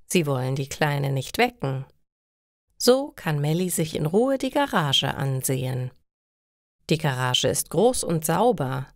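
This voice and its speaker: A woman reading simple stories for language learners as a narrator, in a slow, careful storytelling style. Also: sits low, no echo, studio quality.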